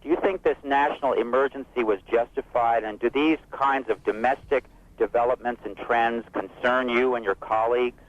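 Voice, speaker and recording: A man's voice: medium pitch, fairly even intonation, normal speed. American man on a tinny phone line. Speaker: caller to radio show. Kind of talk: phone-in question. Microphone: telephone.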